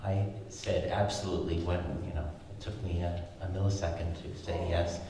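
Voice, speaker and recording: A man in his sixties, a flute player, giving halting spoken remarks at a concert with many fillers and restarts. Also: deep voice, flat delivery, background noise. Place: large concert hall. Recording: echoey concert hall from far off.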